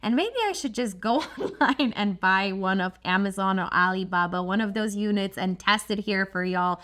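A woman's voice: mid-range voice, moderate pace. Woman, thirties, chatty explainer, lots of asides, studio desk. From Poland. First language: Polish. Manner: chatty, expressive, frequent asides and "like". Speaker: host of a solar energy channel. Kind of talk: explainer talk to camera. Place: dim studio with a shelf of glowing props.

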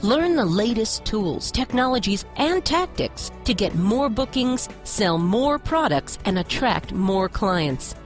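A woman's voice: mid-range voice, big pitch swings, takes it slowly, few pauses. A woman reading a scripted promotional voice-over as an announcer. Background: music.

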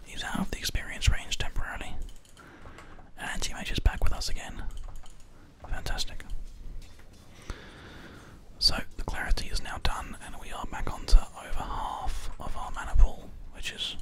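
A gamer commentates on play in a breathy, unvoiced whisper, right up at a binaural mic. The delivery is ASMR, hushed throughout, with keys and mouse clicking behind it. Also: moderate pace, pauses often. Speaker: host, gamer. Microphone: close binaural microphone. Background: keyboard and mouse clicks.

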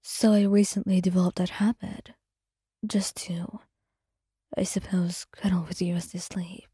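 A woman voicing a gentle, protective male dragon spirit, speaking in a soft, formal whisper. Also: fairly low pitch, level tone, a little slow, husky, no room sound, clean studio sound. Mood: tender.

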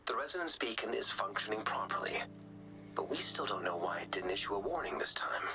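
An actor voicing a calm, analytical young male researcher in a video game, speaking in a measured, polite way. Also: fairly low pitch. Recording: game dialogue over music.

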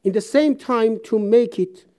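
A woman from Iran, giving a seminar speech in an auditorium in halting English, speaking emphatically and reciting verse. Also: on the high side, mellow. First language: Persian.

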